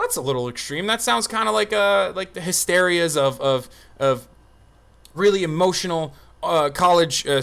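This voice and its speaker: Guy streamer ranting off the cuff, kinda high voice, pushy and sweary, arguing his point. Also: fairly bright tone. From Turkey. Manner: profane, emphatic, argumentative. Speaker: streamer and political commentator. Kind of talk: unscripted livestream commentary.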